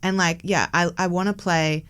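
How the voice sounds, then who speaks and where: A woman's voice: on the low side, steady tone, moderate pace, fairly bright tone, clear, resonant tone. A young woman from Hong Kong, raised in Melbourne, chatting casually as a podcast guest and filling her talk with "like". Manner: chatty, many "like" fillers, laughs often. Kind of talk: casual interview chat.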